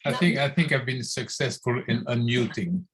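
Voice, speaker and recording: A man's voice: medium pitch, a little fast, fairly bright tone. A man from Belgium, a caller giving public comment at a city meeting, hesitant and full of fillers and restarts. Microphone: phone call into online meeting.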